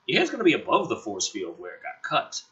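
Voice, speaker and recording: A man with a forceful, raspy voice reads a script aloud with lively, swinging pitch. He drops into casual, exasperated commentary with plenty of swearing. The recording sounds slightly dull and lo-fi. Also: fast. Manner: sardonic, sweary, exasperated asides. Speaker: host reading and critiquing a film script draft.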